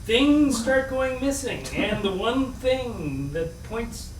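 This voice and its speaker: A man giving an expressive, playful live storybook reading, with chatty asides to his listeners. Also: very high voice, slow. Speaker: reader at a live book reading. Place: indoor room at a live reading. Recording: echoey room, distant mic, faint noise.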